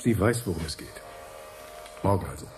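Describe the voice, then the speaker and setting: An actor playing a reassuring scientist in a radio drama, coaxing and deliberate as he guides a test subject. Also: low-pitched, lively intonation. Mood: reassuring, expectant. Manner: soothing, deliberate, coaxing instructions. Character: reassuring male scientist leading a time-travel experiment.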